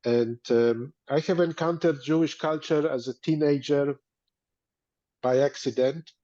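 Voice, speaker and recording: A man's voice: fairly high pitch, steady tone, unhurried, mellow. Man, Polish accent, interview guest, hesitant with fillers, muffled lo-fi sound. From Poland. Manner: hesitant, many fillers and restarts. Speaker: museum director, guest. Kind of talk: interview, casual talk.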